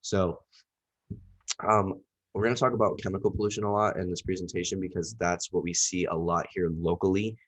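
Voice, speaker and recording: A man's voice: sits low, flat delivery, a little fast. A young man with a cold, giving a casual, rambling class presentation with lots of fillers. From Southwest Florida. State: nasal from a cold. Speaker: student presenter.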